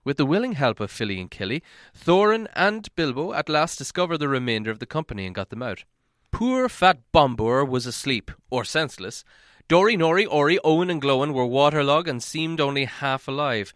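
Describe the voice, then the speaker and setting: A man reading a fantasy novel aloud as an audiobook narrator, in dramatic, measured storytelling. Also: fairly high pitch, sing-song, a bit bright, dry recording, studio quality.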